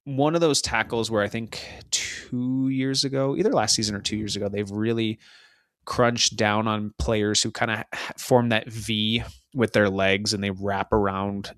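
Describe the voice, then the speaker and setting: Podcast co-host, man, lowish voice, rambling match recaps, dry sound. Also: steady tone, normal speed, a bit bright, no echo. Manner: rambling, chatty play-by-play recaps. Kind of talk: casual soccer podcast chat.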